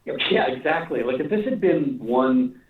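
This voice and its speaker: Echoey, distant man talking haltingly on a call. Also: medium pitch, expressive, narrow, tinny audio, noisy background. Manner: halting, rambling, repeats phrases as he thinks aloud. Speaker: animation and comic writer being interviewed. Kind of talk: casual interview conversation.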